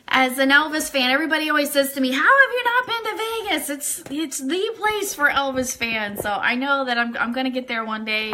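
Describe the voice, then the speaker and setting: A woman's voice: high voice, sharp tone, slight background noise. A woman hosting a casual live-stream fan news show, chatting informally and enthusiastically straight to her viewers. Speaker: radio network show host.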